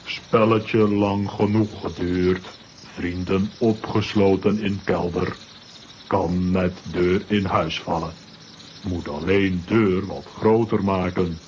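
Good-natured strongman, terse telegram speech, slow pace, muffled lo-fi cartoon audio drama. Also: low-pitched, steady tone, darkish tone, a little hiss or hum. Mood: determined. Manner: terse, clipped, drops pronouns and articles. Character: simple, good-natured strongman speaking in clipped telegram sentences. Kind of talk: children's audio drama acting.

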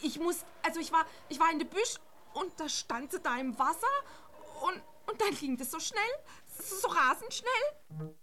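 An actress playing a distraught woman witness in a radio drama, insistent and halting in colloquial speech. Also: very high voice, expressive, bright, forceful tone, exaggerated voice.

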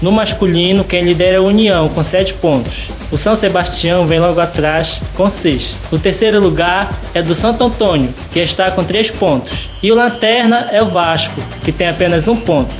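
A man from delivers a scripted radio sports bulletin. He reads as a studio reporter, with music clearly playing underneath. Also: sits high, moderate pace.

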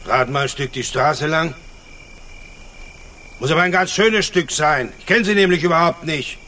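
A man's voice: a little high, moderate pace, sharp tone. An actor playing a wary rural family man in a German radio drama, blunt, bossy and full of questions. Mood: suspicious. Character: gruff, suspicious rural family patriarch with lamp.

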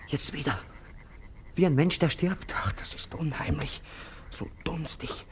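An actor playing a frightened passer-by in a 1950s radio drama, speaking in hushed, urgent exclamations. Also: male voice, a little high, lively intonation, unhurried, in a whisper. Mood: hushed dread. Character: frightened passer-by in night fog. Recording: distant, noisy 1950s radio recording.

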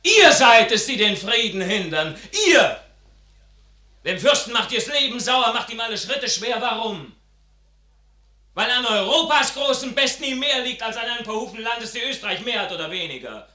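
An actor playing a passionate, idealistic young soldier son in a classical German radio drama, declaiming verse in an impassioned, swelling manner. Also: male voice, extremely high pitch, expressive, normal speed, pushed and bright, exaggerated voice. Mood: furious, defiant. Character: ardent idealistic young soldier, son at odds with his father.

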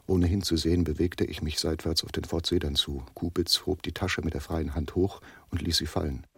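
An actor playing a man recounting a deadly shootout in measured, literary narration for a German radio play. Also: a bass voice.

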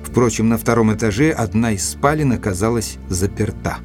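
An actor playing a gentlemanly detective's companion who recounts the case in a measured, literary audio-drama narration. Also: male voice, lowish voice, lively intonation, natural voice. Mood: tense storytelling. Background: music.

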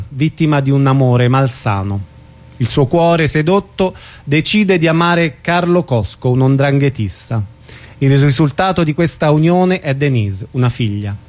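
A man reading a scripted introduction to a radio drama in a solemn, declamatory way, as narrator. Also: on the high side.

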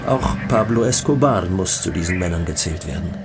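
An actor playing a defiant, self-important drug lord declaiming public statements in a German radio documentary drama. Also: male voice, deep voice, lively intonation, a little fast, heavy background noise. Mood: proud, defiant. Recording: music behind the voice.

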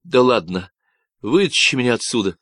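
A man's voice: medium pitch, lively intonation, normal speed, fairly bright tone, dry recording, studio quality. A man voicing a panicked young man trapped in a box, pleading urgently over a radio.

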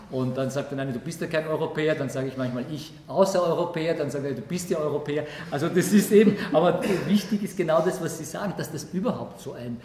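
A man's voice: a little high, a little mellow. A man speaking spontaneously as a lecturer answering an audience, often breaking off and rephrasing his sentences. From Austria. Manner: halting, self-correcting, breaks off and rephrases sentences. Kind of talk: spontaneous spoken answers in a public discussion. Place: indoor public event room. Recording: echoey room with clear background noise.

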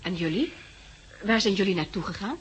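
A woman's voice: medium pitch, fairly quick, husky. An actress playing a spirited survivor wife, eager and emphatic in her questions, in 1960s Dutch radio drama style. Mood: curious.

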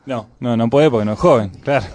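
A man's voice: mid-range voice, quick pace, darkish tone, no echo. An Argentine man co-hosting a radio programme, talking casually into a studio microphone. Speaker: radio co-host.

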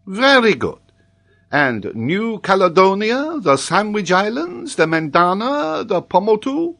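A man voicing an excitable, pompous middle-aged geography professor in a storybook reading, with emphatic, exclamatory delivery. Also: high-pitched, takes it slowly, voice pushed up, heavily acted. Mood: solemn, pompous.

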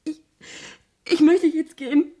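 A woman's voice: sits high, steady tone, slow pace, mellow. An actress playing a troubled, conflicted young woman, polite, hesitant and earnest, in a 1970s German radio crime drama. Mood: distressed.

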